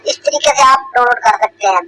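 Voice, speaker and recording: A man's voice: very high-pitched, brisk, pushed and bright, soft background music, poor audio. A man in a short demo recording, played back through voice changer filters. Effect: voice changer app filters. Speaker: tutorial host's test recording.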